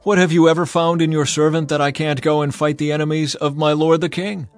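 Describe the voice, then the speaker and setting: A man's voice: on the high side, flat delivery, fairly quick, soft background music, pitched above the performer's own voice, lightly acted. A man doing an urgent warrior leader. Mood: protesting. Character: bold young warrior leader, wronged and urgent.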